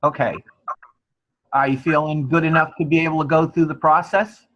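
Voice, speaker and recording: A man with a New Jersey accent leads an online class. His voice sits a little high and the sound is somewhat dull and band-limited. He talks informally and encouragingly, like a teacher chatting with students. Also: steady tone, normal speed, a bit bright, slight background noise. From New Jersey, USA. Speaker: college instructor. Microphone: online class call.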